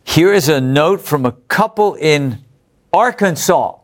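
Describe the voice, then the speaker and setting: A man's voice: on the high side, animated, slow pace. An English man hosting a Bible study program, reading out viewer letters in an upbeat way and chuckling between remarks. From England. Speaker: host.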